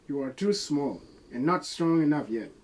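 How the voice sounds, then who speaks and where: A man's voice: on the high side, animated, normal speed. A young man from South Sudan with a Dinka accent, coaching lines and recalling experiences. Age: young adult. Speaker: dialect coach. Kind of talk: spoken recollection and line coaching. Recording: clear background noise, ambience.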